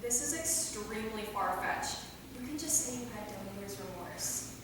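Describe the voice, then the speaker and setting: A woman's voice: mid-range voice, expressive, normal speed, natural voice. A woman playing a flippant, sarcastic young vampire who teases in slang during a staged comedy reading. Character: cheeky, flippant young female vampire from Wisconsin. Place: theater, recorded from a distance. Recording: very echoey hall, far mic, clear noise.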